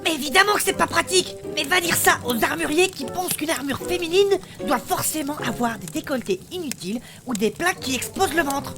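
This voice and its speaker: High-voiced, indignant warrior woman, played by an actress. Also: lively intonation, brisk, hardly any pauses, over music. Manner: argumentative, emphatic, coarse-tongued. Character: indignant, outspoken armoured woman warrior, proudly feminine. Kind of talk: comedic fantasy audio drama acting.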